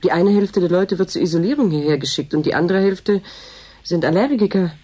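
Brief: husky, mellow-voiced female boss for radio drama, matter-of-fact, disillusioned, dryly officious. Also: lowish voice, brisk. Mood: weary, sober. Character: world-weary, dryly officious female boss of a land reclamation station. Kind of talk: German radio drama acting.

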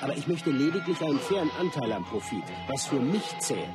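Animated driven film star. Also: male voice, a little high, a little fast, over loud music. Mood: proud, determined. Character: driven, proud Chinese martial arts film star recounting his career. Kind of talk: scripted first-person radio play monologue.

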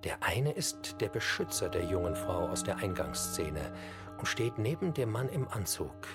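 A man reading scripted scene descriptions for a radio play in a measured, descriptive way. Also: sits low, very animated. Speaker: narrator. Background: music behind the voice.

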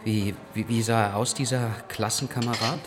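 A man's voice: mid-range voice, fairly even intonation, normal speed, lightly acted. An actor in a German radio drama playing a listless, reticent man who speaks tersely and hesitantly. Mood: hesitant, tipsy. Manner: terse, hesitant, mumbled hums. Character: Tolja, listless, weary man, reticent and indebted. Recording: music under the voice.

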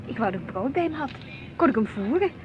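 An actress playing a middle-aged housewife in a radio drama, teasing and gently assertive, questioning and mildly protesting. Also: female voice, high voice, sing-song, quick pace. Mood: curious. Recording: dull lo-fi radio play, faint noise.

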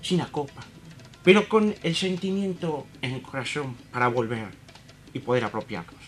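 An Argentine man plays football analyst on a comic radio show, with a medium-pitched voice. He is dramatic and repeats himself, with faint music underneath. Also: moderate pace. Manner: dramatic rhetorical questions, repetitive. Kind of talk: comic sports commentary.

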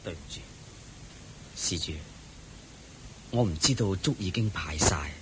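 Humble ailing monk, meek, soft, whispery at times, deep voice. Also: male voice, expressive, unhurried, a little hiss or hum. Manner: soft, apologetic, sometimes whispery. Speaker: monk with a stomachache. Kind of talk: Buddhist radio drama acting.

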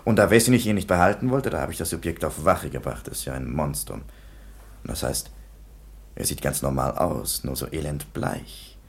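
Gravelly, deep, perplexed police commissioner. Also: male voice, normal speed. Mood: dismissive, unsettled. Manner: animated storytelling, dismissive. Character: perplexed, dismissive male police commissioner reporting a case. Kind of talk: radio play acting.

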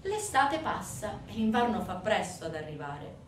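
A woman performing a dramatic theatrical monologue, intense and emphatic, swinging from hushed to loud. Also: medium pitch, sing-song, moderate pace. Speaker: stage actress narrating a monologue. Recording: roomy, distant stage recording with noise.

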